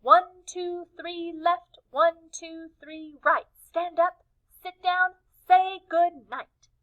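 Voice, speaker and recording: A woman's voice: very high-pitched, steady tone, drawn-out words, pitched above the performer's own voice, heavily acted. A woman voicing a prim, proper team of penguins, chanting in a neat sing-song. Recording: slightly muffled lo-fi recording.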